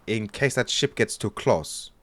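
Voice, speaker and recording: A man's voice: fairly low pitch, expressive, a little slow, a bit bright, dry recording. A man playing a nervous, good-natured pirate deckhand in an audio drama, speaking hesitantly and deferentially. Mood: casual, earnest.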